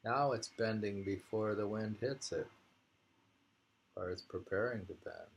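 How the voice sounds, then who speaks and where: A man casually reading poetry and talking it over in a halting, musing way. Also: fairly low pitch, gravelly, a little hiss or hum. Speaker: reader and host of poetry readings.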